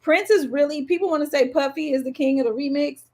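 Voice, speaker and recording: A woman speaks as a podcast co-host in relaxed, informal conversation. Her voice sits somewhat high. She talks about music in an everyday chatting style. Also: fast. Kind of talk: casual music chat.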